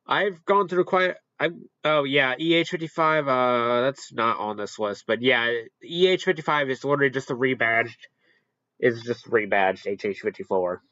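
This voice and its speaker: Bright-voiced man rambling casually, lo-fi audio. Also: mid-range voice, dry recording. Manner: rambling, halting, self-correcting. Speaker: video host ranking products. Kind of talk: casual unscripted ranking commentary.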